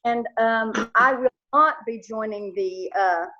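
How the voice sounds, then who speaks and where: A woman's voice: fairly high pitch, slow pace, very noisy. A woman chatting casually as she introduces herself as an amateur actor on a video call. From lives in Texas, United States. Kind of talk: casual chat. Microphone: video call microphone. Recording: dull, lo-fi video call audio.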